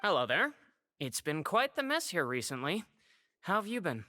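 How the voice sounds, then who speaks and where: A woman's voice: on the low side, sing-song, moderate pace, sharp tone. A woman voicing an easygoing, absent-minded young courier in a video game.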